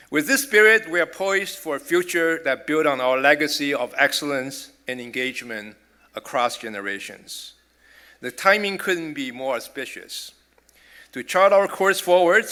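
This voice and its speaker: A middle-aged man from Taiwan with a Taiwanese accent, delivering a formal prepared speech as a college president in a measured, earnest way. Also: fairly high pitch, sharp tone.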